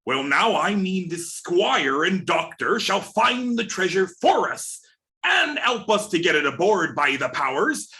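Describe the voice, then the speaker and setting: Wily old pirate cook: high-pitched for a man, slow, folksy storyteller for radio drama. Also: big pitch swings, bright, forceful tone, heavily acted. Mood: scheming, impatient. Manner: folksy sailor talk, drawn-out storytelling. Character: Long John Silver, wily old pirate sea cook. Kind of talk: radio play reading. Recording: remote video-call reading.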